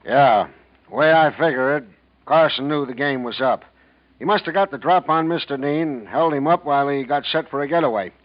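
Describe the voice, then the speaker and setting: A man acting as a plain-spoken American detective from a 1940s radio play. He talks in a colloquial, methodical way at an average pitch. The sound is muffled and vintage. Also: moderate pace, lightly acted. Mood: matter-of-fact. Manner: matter-of-fact, colloquial. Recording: dull old 1940s radio recording.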